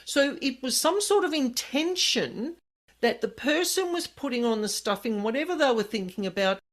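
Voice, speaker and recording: A woman hosting a chatty podcast, her slightly high voice croaky and hoarse from a passing virus. She explains things earnestly and at length, in an easy, conversational way. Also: animated, normal speed. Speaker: podcast host. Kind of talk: casual podcast chat.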